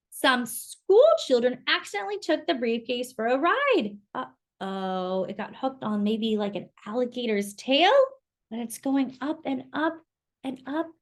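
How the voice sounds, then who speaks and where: High, animated woman reading to children. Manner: exclamatory, addresses young listeners with questions. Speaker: children's storytime reader. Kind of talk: expressive picture-book read-aloud with chatty asides to children.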